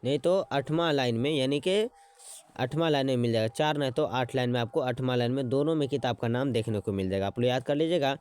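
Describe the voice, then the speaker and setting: A man teaching an exam-prep lesson, repeating words slowly as he writes them out and checking in with his students as he goes. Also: medium pitch, a little mellow, clean tone. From Bihar.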